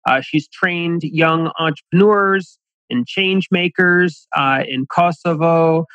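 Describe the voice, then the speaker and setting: A man's voice: on the high side, takes it slowly, fairly bright tone, no room sound, muffled recording. A man hosting a podcast interview, friendly and a little hesitant, often restarting his sentences. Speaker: podcast host.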